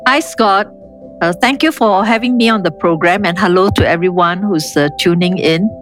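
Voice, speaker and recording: An older woman with a Singaporean accent, speaking in a measured, reflective, explanatory way as an interview guest. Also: lowish voice, a bit bright, soft background music. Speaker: interview guest, urban planning official. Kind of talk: conversational interview, reminiscing.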